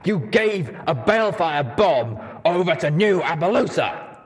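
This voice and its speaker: A man voicing an angry, gruff armored pony soldier in a story reading, stern and demanding. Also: fairly high pitch, very animated, a little slow, pitched above the performer's own voice. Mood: furious.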